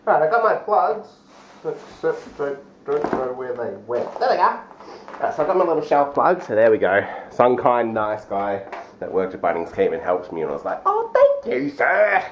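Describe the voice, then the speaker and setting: Animated, sing-song young man. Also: mid-range voice, moderate pace, slight background noise. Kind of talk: casual vlog chat.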